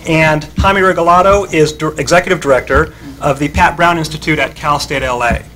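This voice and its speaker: A man moderating a conference panel, introducing speakers in a halting way with many fillers. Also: fairly high pitch, fairly even intonation, fairly bright tone, low-quality recording. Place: conference hall.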